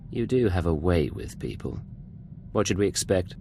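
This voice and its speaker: An actor playing a gruff, wry operative who questions his partner dryly in a video game. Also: low-pitched, lively intonation, moderate pace, darkish tone, a little hiss or hum. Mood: wry, probing. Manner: wry, dry.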